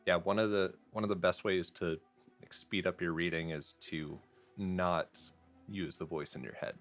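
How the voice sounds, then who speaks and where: Man, low pitch, informal podcast chat, faint music behind. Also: steady tone, normal speed.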